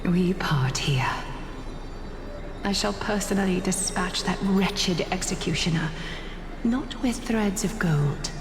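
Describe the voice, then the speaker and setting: A woman playing a solemn, regal prophetess and weaver of fate in a fantasy game, with a breathy, stately, resigned delivery. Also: fairly low pitch, takes it slowly, background noise. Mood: grave resolve. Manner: stately, hushed, formal pronouncements. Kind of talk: dramatic fantasy game voice acting. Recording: echoey hall reverb under music.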